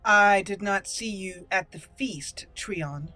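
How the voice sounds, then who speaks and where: A woman role-playing a proud, dutiful young noblewoman raised among dwarves, speaking formally and deliberately as game dialogue. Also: medium pitch, sharp tone, no room sound, soft background music. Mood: cool, firm.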